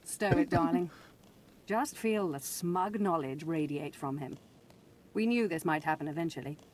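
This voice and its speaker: A haughty game noblewoman speaks in a fairly low, silky voice. She is condescending and scheming, as if everyone is beneath her. A faint noisy rumble sits under the recording. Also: lively intonation, moderate pace, darkish tone. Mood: disdainful. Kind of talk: video game voice acting.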